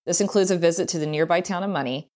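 A woman's voice: lowish voice, racing, a little mellow. A woman reading a scripted travel essay aloud as narration. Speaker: essay reader.

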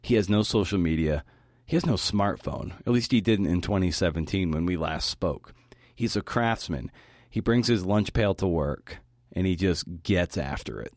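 A man's voice: deep voice, expressive, normal speed, darkish tone, no room sound, studio quality. A man hosting a podcast, delivering a prepared talk. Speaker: podcast host giving a talk. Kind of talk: prepared talk with interview clips.

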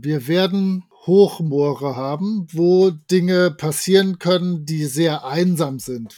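Guy w a pretty high voice, podcast cohost, chatty n tongue in cheek. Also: a little mellow. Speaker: podcast co-host. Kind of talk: casual chat reviewing an audio drama.

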